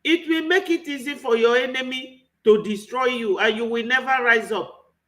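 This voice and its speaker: A man's voice: very high-pitched, normal speed, a bit bright. A man with a Nigerian accent giving an impassioned political commentary, full of rhetorical questions. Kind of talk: online political commentary monologue.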